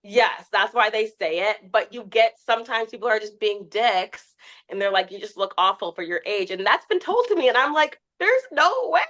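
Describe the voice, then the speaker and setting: A woman's voice: medium pitch, normal speed. A woman in her twenties, a guest on a podcast, chatting candidly and acting out quotes as she tells stories. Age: 20s. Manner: candid, animated storytelling with quoted asides. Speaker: podcast guest, TikTok creator. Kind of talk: casual interview chat.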